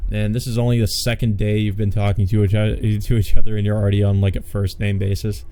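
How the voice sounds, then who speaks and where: A man's voice: lowish voice, warm tone. A man narrating a visual novel as a let's player, reading the text and dropping blunt, sardonic casual asides. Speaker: let's play host and reader.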